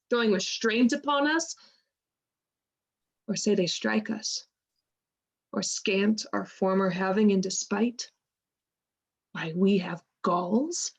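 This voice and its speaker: A woman playing a worldly, outspoken lady's maid in a Shakespearean radio play, with a wry, frank manner. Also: a little high.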